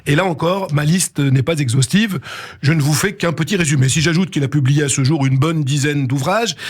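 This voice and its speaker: Husky-voiced male radio host. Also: fairly high pitch. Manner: chatty, prompts and finishes the guest's sentences. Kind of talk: radio interview. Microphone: studio microphone.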